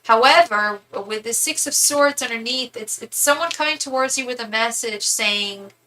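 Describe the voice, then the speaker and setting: Woman, 30s, live tarot reader, rambling chatty delivery, bright crisp voice. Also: a little high, normal speed, slight background noise, poor audio. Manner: chatty, rambling, many asides and restarts. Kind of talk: live tarot reading for viewers.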